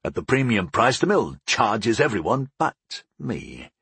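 A man voicing a portly, proud older baker father in an audiobook, gruff and resolute, explaining things without hurry. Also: fairly low pitch, big pitch swings, a bit bright, dry recording, full character voice. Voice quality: gruff, grumbling. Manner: unhurried, explaining, homespun wisdom. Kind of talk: audiobook character dialogue.

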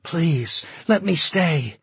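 A man's voice: on the high side, expressive, drawn-out words, bright, forceful tone, husky, no echo, pitched above the performer's own voice, heavily acted. A man voicing a hungry refugee woman who pleads with a soldier and nears hysteria. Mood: pleading. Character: desperate, hungry, sobbing young woman refugee.

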